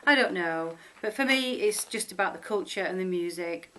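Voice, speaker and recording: A woman's voice: fairly low pitch, lively intonation, normal speed, bright, forceful tone, poor audio. A middle-aged woman from Sheffield with a Northern English accent, chatting informally and unscripted to her viewers in a rambling, affectionate way. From Sheffield, northern England. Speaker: vlogger introducing herself. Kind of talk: casual unscripted chat to camera.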